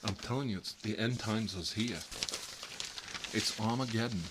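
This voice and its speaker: A man acting out a hapless American homebody who lives with his mom, in a fairly low voice. He rambles along with folksy opinions in an improvised comic roleplay. The recording has clear background noise. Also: normal speed, natural voice. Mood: rambling, earnest. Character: Marvin, chatty hapless man living with his mother.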